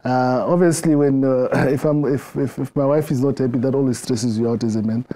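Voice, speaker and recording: A middle-aged man with a Zimbabwean accent, answering interview questions in a reflective way, often halting and repeating words. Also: medium pitch, steady tone, crowd noise. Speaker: interview guest.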